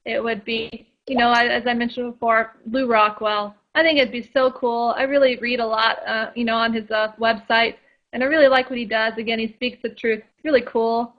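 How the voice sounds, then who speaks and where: A woman's voice: on the high side, level tone, normal speed. A woman guest in a casual radio interview, chatty and full of fillers and restarts. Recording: dull lo-fi sound.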